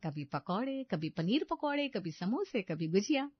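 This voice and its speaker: A woman's voice: medium pitch, big pitch swings, moderate pace, breathy edge, dry recording. Slightly muffled, dry woman storyteller. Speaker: story narrator. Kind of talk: scripted storybook narration in a family moral tale.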